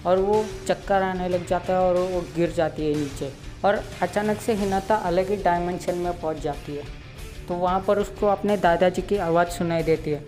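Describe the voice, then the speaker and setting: Hoarse teenage boy chatting casually. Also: sits high, darkish tone. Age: late teens. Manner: chatty, full of "matlab" fillers. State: sore throat. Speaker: YouTube channel host. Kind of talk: casual cartoon episode recap.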